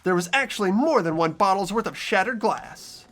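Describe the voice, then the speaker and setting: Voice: medium pitch, lively intonation, moderate pace, fairly bright tone, soft background music, natural voice. A performer voicing an earnest teenage boy investigator who argues through the evidence in a fan dub of a game. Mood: reasoning.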